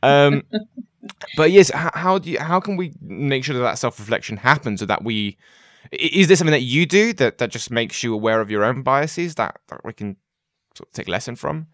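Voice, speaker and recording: A man's voice: medium pitch, lively intonation, normal speed, a bit bright, dry recording, clean studio sound. A man hosting an interview, chatting in a rambling way with many fillers and repeated words. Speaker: host. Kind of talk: casual interview chat.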